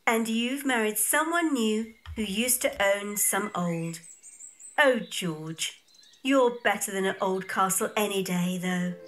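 An actress playing an affectionate, spirited young Victorian heiress, newly married, in a period audio drama. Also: female voice, medium pitch, slow, a bit bright. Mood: tender, reassuring.

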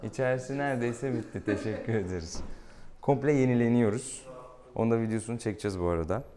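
A man's voice: medium pitch, fast talker, warm tone. A man, a vet chatting casually and playfully, affectionately teasing an animal patient in a small exam room. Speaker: veterinarian presenting a clinic video. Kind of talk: casual playful chat with a pet and viewers. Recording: clear room noise behind.

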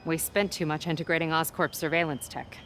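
An actress playing a no-nonsense, weary female police captain in a video game, brisk and dry. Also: deep voice, steady tone, a bit bright, a little hiss or hum. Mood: dry, businesslike.

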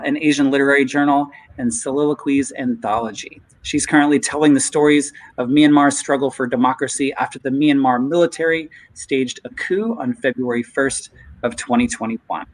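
A man's voice: mid-range voice, moderate pace, low-quality recording. A man hosting an online poetry reading over a video call, introducing the next reader in a friendly, upbeat way. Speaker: event host.

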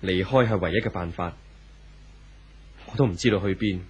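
A man acting a resigned, lovesick twenty-five-year-old in a 1970s radio drama, grave and measured, in Hong Kong Cantonese. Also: low-pitched, darkish tone, breathy edge, highs cut off. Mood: grave determination.

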